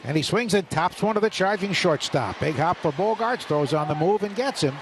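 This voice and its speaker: A man calling play-by-play baseball on radio, brisk and detailed, rising with the action. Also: high-pitched, animated. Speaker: play-by-play announcer. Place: ballpark broadcast booth. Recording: radio broadcast with background noise.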